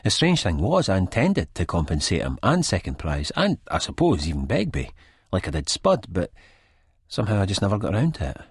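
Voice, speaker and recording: A Scottish man reading a first-person story in a colloquial, confiding, conversational way, with a husky voice. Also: deep voice, huge pitch swings, fairly quick, dry recording, clean studio sound. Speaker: audiobook narrator. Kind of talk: dramatic first-person scripted narration.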